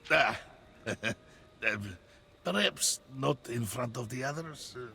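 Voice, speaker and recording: An actor playing a big, jovial, warm-hearted strongman in a game, with a hearty delivery. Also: medium pitch, takes it slowly, creaky, husky, exaggerated voice. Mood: friendly, sheepish. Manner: hearty, speaks of himself in third person. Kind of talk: cinematic game acting.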